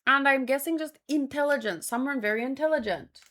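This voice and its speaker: A woman's voice: sits high, brisk, a bit bright. A woman giving casual reaction commentary as a host, thinking aloud in a musing, hesitant way and often repeating words. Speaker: host of a manga read-along.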